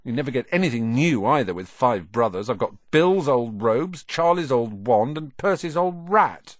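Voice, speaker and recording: A man's voice: medium pitch, animated, normal speed, dry recording, close to an everyday voice. A man voicing an eager, self-deprecating young boy wizard from a big family, grumbling and exclaiming as audiobook dialogue. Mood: gloomy, grumbling.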